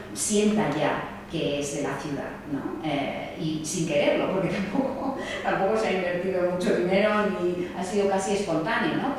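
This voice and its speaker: Low-voiced woman in an echoey hall. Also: slight background noise. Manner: hesitant, many fillers and tag questions. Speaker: sociologist speaking at a seminar. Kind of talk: seminar talk. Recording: echoey distant room mic, faint ambience.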